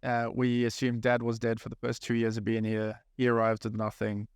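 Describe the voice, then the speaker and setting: A man's voice: medium pitch, completely flat delivery, brisk, smooth, no room sound, clean studio sound. A man in his thirties from New Zealand with a New Zealand accent, hosting a podcast, reflective and earnest as he asks probing questions. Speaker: podcast host. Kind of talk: casual interview chat.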